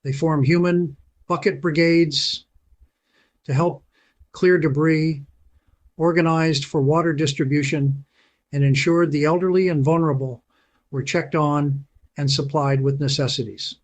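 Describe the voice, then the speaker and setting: A man who hosts a channel, giving a punchy, emphatic scripted narration with dramatic pauses. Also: a little high, steady tone. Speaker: channel host.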